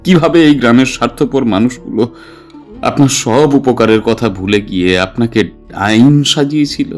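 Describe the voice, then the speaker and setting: Male actor as young village father, desperate and determined; mid-pitched, earnest horror-drama delivery over music. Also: moderate pace, lightly acted. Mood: insistent. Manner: earnest, pleading. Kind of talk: dramatised horror audio story acting.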